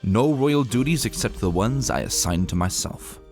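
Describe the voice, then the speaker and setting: A man reading a scripted first-person story in an expressive, reflective manner, as a dramatic narrator. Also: fairly low pitch, normal speed, music in the background.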